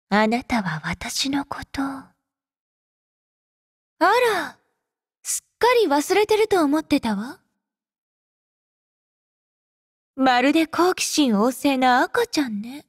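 Casting: haughty game femme fatale, young warrior, high-pitched, unhurried, teasing and possessive. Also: female voice, no room sound, clean studio sound, full character voice. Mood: intimate, secretive, then mocking, threatening. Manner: languid, teasing, coolly condescending. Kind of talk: Japanese game character voice lines.

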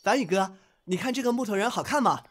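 A man's voice: sits high, expressive, fast. An actor in an audio drama playing a naive, proud young swordsman from the ancient past, earnest and courtly in his wording. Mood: innocent, earnest.

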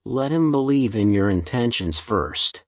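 A woman voicing a cunning male jackal in a storybook, smooth and coaxing. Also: extremely low pitch, lively intonation, measured pace, dry recording, clean studio sound, voice pushed down. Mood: scheming. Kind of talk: storybook reading.

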